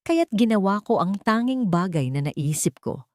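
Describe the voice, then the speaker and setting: A woman voicing a wronged rich man who speaks calmly and gravely, confiding a secret in a dramatic audiobook. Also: mid-range voice, no echo, voice pushed up, close to an everyday voice. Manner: calm, grave, deliberate.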